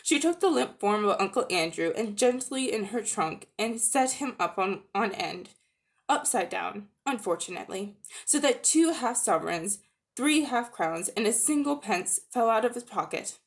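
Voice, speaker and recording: A woman narrates a storybook in a dramatic reading style. Her pitch moves around freely and expressively, and she now and then stumbles over a phrase. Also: lively intonation, normal speed. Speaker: amateur audiobook reader.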